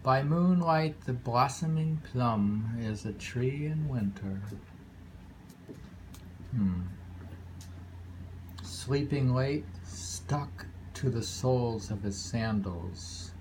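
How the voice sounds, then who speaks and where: A man reading haiku aloud in a deliberate, musing way, pausing between poems and adding casual asides. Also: mid-range voice. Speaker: poetry reader. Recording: very audible background noise, homemade recording.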